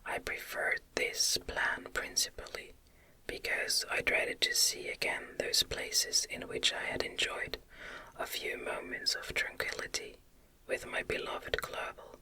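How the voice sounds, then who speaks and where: A woman reading a gothic novel aloud as ASMR in a gentle, soothing, breathy whisper. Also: unhurried. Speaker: audiobook reader.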